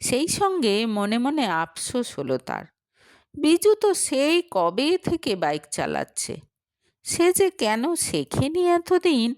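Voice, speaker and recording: A female storyteller narrates a scripted tale. Her voice sits fairly high and moves with very animated intonation. She takes her time over the dramatic narration. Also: takes it slowly, dry recording, clean studio sound.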